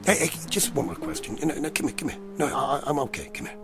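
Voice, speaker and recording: An actor playing a brash, rattled, sarcastic man in a radio drama, with a gravelly voice and stammering wisecracks. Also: medium pitch, lively intonation, fast, music in the background. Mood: coaxing. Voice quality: gravelly, creaky. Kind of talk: naturalistic radio drama acting.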